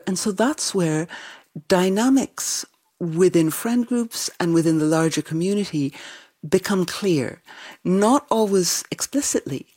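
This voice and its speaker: Woman, transatlantic accent, middle-aged, warm enthusiastic answers, laughs easily, lowish pitch. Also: slightly rough. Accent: transatlantic, with some Irish. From United States. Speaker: novelist guest. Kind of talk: interview conversation.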